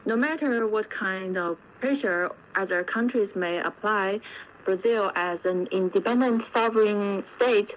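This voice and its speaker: A woman speaking as an expert guest, giving measured, formal analysis point by point in an interview over shortwave radio. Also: mid-range voice. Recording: dull lo-fi shortwave radio with faint noise.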